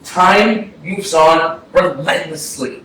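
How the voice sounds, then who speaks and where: A man's voice: a little high, takes it slowly, bright, forceful tone. A man acting a grieving, self-conscious young man who mourns a dead friend in a poetic staged reading. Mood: weary, brooding. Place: indoor arts center stage, recorded from afar. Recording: very echoey hall, distant, faint noise.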